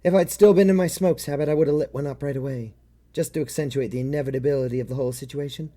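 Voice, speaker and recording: A man's voice: medium pitch, fast, warm tone, lightly acted. A man voicing a world-weary, fatalistic private detective who narrates a noir story in a brooding, dramatic way. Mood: grimly resolved.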